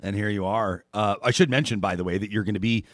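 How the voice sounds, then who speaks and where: A man's voice: lowish voice, fast, fairly bright tone, no echo. A man hosting an interview, asking long, searching questions full of fillers. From Canada. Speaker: radio talk show host. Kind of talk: interview conversation.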